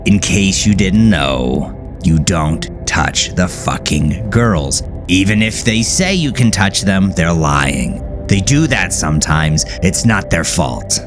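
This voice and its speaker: A man's voice: low voice, animated, takes it slowly, over music. A man voicing a crude, showy old strip club emcee working the microphone in a story. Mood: brash, scolding. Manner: brash showman patter on a microphone.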